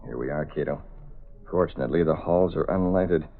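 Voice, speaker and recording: An actor playing a resolute masked vigilante in vintage radio drama, with a clipped, authoritative, measured delivery. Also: male voice, extremely low pitch, creaky, phone-quality sound, soft background music. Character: resolute masked male vigilante, cool commanding gentleman. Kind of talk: 1930s-40s radio drama acting. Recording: thin band-limited vintage radio recording.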